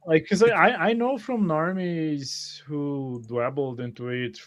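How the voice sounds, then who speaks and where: Higher-pitched man in halting casual chat. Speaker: tabletop game player on a stream.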